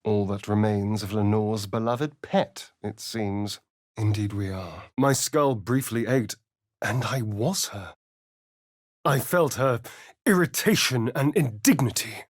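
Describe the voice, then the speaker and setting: An actor voicing a vain, sardonic vampire spawn rogue in a video game, arch and theatrical with a drawling delivery. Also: male voice, lowish voice, slow, no room sound, clean studio sound. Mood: reflective narrating. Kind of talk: video game character voice lines.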